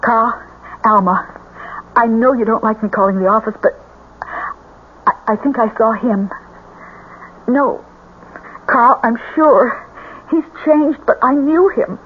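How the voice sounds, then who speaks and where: Casting: nervous, insistent wife, fairly high pitch, vintage radio drama, thin telephone tone. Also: female voice, slow, pauses often, breathy edge. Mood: anxious. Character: anxious wife, hesitant but insistent. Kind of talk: old-time radio drama acting. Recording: tinny, faintly noisy phone-like radio.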